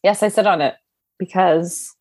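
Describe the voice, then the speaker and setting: Woman comic creator on a podcast, kinda husky, laughs along easy. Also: on the low side, steady tone, normal speed, darkish tone, no echo. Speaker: comic book creator, guest. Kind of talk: casual interview chat.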